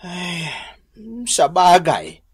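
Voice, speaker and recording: A man playing an older, disapproving father in an Ilocano radio comedy drama, speaking in a measured way with an Ilocano accent. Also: high-pitched, very animated, husky, full character voice. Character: older father, grumbling about his spendthrift daughter.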